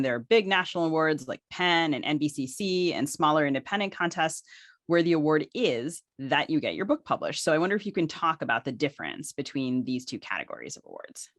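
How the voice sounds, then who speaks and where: A woman co-hosts a podcast in a deep voice with very lively intonation. She is conversational and wry, and the sound is close and dry. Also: fast talker, no room sound. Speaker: podcast co-host.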